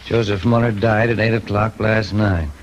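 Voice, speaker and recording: An actor playing a steady police detective in a 1950s radio drama, plain, firm and matter-of-fact. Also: male voice, lowish voice, moderate pace, natural voice. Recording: dull, faintly noisy old radio recording.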